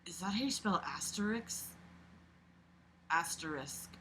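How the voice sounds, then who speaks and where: A woman reading a book aloud as a host, casual and expressive, breaking off for sarcastic, sweary, mocking asides. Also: lowish voice, measured pace, a little hiss or hum, rough recording.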